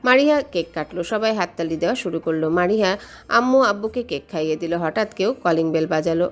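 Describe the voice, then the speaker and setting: A woman's voice: lowish voice, lively intonation, quick pace, soft background music. A woman reading scripted romantic story narration as the narrator.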